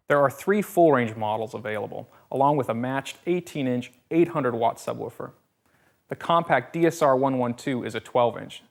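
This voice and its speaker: A man's voice: mid-range voice, gravelly. A man giving a polished, scripted product narration as a presenter, with a salesy delivery and punchy quips.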